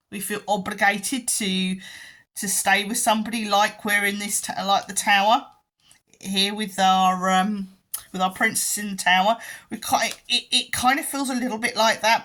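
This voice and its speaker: A woman giving a pick-a-card tarot reading in a gentle, halting way, full of ums and restarts. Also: mid-range voice. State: croaky voice and yawning. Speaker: tarot reader.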